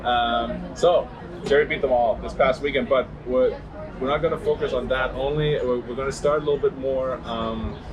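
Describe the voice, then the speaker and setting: A man in his forties hosting a podcast, interviewing casually with many pauses and restarts. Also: medium pitch, steady tone, lo-fi sound, music in the background. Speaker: podcast host.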